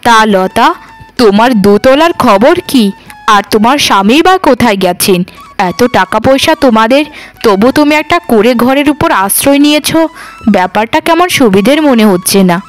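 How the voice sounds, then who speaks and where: A protective village mother from a cartoon, acted by a woman. She has a high voice and a plainspoken, assured way of talking, with faint music underneath. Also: lively intonation, normal speed, lightly acted. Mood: suspicious. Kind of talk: Bengali cartoon dub acting.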